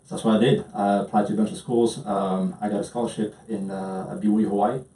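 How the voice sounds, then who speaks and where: A man from France with a French accent tells his story in a low, mellow voice, hesitating often with ums and false starts. He sounds a little distant from the mic in a roomy space. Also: flat delivery, quick pace. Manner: hesitant, many ums and restarts. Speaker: interview guest. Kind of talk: casual storytelling.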